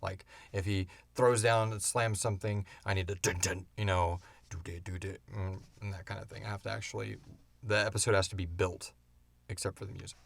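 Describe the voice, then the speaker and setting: Guy in his 30s, lowish voice, casual podcast host telling stories kinda animated, dry close sound. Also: brisk, vocal fry, dry recording. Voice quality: wheezy breathing. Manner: animated storyteller, acts out sounds and swears casually. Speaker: podcast host and audio drama creator. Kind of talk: casual chat answering listener questions.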